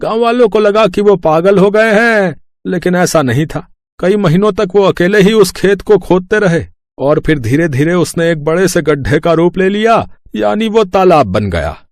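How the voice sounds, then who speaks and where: A gentle village father from a storybook, acted by a man. His voice is on the high side and recorded very dry, with a patient, fond storytelling manner. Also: animated, normal speed, no echo. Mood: fond, reflective. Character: gentle village father telling family history. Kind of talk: storybook character acting.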